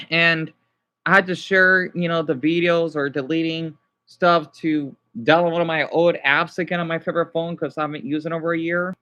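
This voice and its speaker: A man chatting casually to live viewers as a streamer, rambling and halting as he jumps between thoughts. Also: on the high side, little pitch movement, pushed and bright, muffled recording. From United States.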